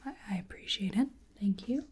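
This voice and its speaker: A woman with a soft, breathy, often whispery voice doing ASMR roleplay as a bewildered, polite researcher, speaking hesitantly to the listener. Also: medium pitch, expressive, a little fast. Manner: hesitant, murmuring to an unseen listener. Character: bewildered, polite female researcher examining a creature.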